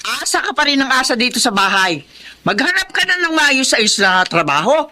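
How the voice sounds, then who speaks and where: An exasperated Filipina mother of grown children. She nags bluntly in a bright, piercing voice that rises and falls sharply. It is radio drama acting with a Filipino accent. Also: sits high, big pitch swings, moderate pace, exaggerated voice. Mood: scolding. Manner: nagging, blunt.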